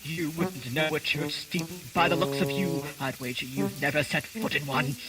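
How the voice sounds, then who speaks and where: A man playing a timid, polite and flustered Victorian gentleman in a radio drama. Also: on the high side, steady tone, normal speed, over loud music, lightly acted, rough recording.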